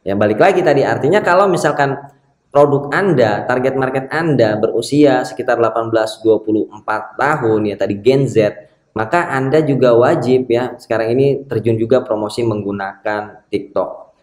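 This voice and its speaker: A man's voice: medium pitch, moderate pace, darkish tone. A man who works as an SEO marketer, reading a news article aloud and adding casual comments with frequent tag words. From Indonesia. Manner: reads passages, then comments with frequent "ya" and "nih" tags. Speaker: SEO marketer and channel host. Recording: echoey room.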